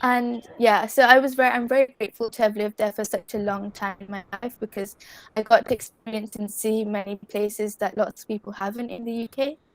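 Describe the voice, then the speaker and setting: A woman's voice: on the high side, steady tone, brisk, dry recording, poor audio. A teenage girl with a British accent, talking casually as an interview guest in an earnest, polite and articulate way. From United Kingdom. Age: teenager, fifteen. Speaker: guest poet.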